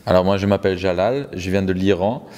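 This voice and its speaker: A man's voice: deep voice, quick pace, darkish tone. A man interpreting a testimony live, hesitant, repeating and rewording phrases as he goes. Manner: hesitant, repeats and reformulates words. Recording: long hall reverb.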